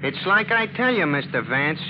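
A comic, uneducated police sergeant from old radio drama. He is pleased with himself and speaks in slangy, broken grammar, in a fairly high voice. The recording is dull and faintly noisy. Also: sing-song, moderate pace. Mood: cocky. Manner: slangy, ungrammatical, self-satisfied. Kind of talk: 1940s radio detective drama acting.

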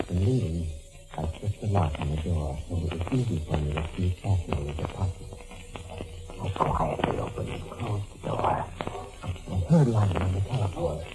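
An actor playing a desperate, unhinged doctor on the run, speaking and narrating intensely in 1940s radio mystery style. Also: male voice, deep voice, rough recording. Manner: intense, melodramatic, swinging into near-hysteria. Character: desperate, unhinged fugitive doctor confessing his murders.

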